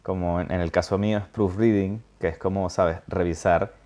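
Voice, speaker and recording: A man hosting a casual interview, talking thoughtfully with many pauses, fillers and little check-ins. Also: deep voice, fairly even intonation, warm tone. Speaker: interview host. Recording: dull lo-fi sound.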